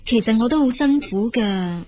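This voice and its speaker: A grown daughter from a radio play, with a Hong Kong Cantonese accent. Her voice is fairly high, and she reasons earnestly, sounding burdened, sensible and patient but reluctant. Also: lively intonation, normal speed, dark tone. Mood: aggrieved. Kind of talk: radio drama acting.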